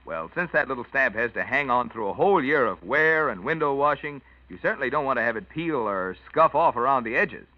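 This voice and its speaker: A man announcing a scripted 1940s radio commercial in a folksy sales-pitch manner. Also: animated, fairly quick, few pauses, no room sound. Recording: dull dry vintage radio recording.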